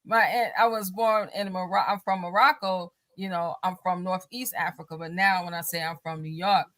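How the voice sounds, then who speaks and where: Soft-pitched woman talking in a rambling way. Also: fairly even intonation, moderate pace, no echo. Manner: rambling, many fillers and restarts; laughs often while talking. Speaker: guest. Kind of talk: casual talk show conversation.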